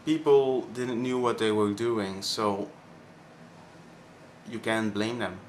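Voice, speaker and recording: A man of twenty-one from Belgium with a Flemish accent, his first language Dutch, speaking haltingly with many pauses in a personal vlog. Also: on the low side, steady tone, slight background noise. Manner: hesitant, many ums and pauses. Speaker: vlogger.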